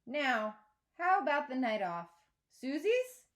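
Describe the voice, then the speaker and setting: A woman voicing a gentle, reasoning middle-aged father in a children's book read-aloud. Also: high voice, big pitch swings, slow, voice pushed up, full character voice. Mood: upbeat.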